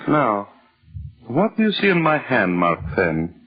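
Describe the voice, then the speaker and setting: A man's voice: mid-range voice, wildly swooping pitch, measured pace, breathy edge, full character voice. An actor playing a grandiose, power-mad scientist in an old radio thriller, insisting feverishly and repeating his words. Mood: commanding, scornful. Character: megalomaniac male scientist obsessed with a speed potion. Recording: dull, lo-fi old radio recording.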